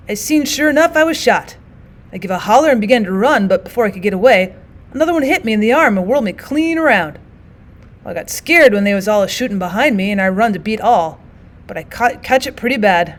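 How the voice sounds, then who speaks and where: A woman acts out a badly hurt male soldier in a storybook reading, who rambles on in homespun country talk with slurred words. The voice sits fairly high and pushes a little louder than the narration. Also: expressive, fast, lightly acted. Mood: rambling. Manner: rambling, folksy rural talk. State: slurring, weakening from wounds.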